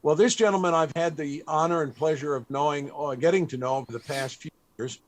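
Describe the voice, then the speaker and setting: An older man hosting an interview, chatty and a bit halting, with frequent chuckles. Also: on the high side. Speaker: panel host.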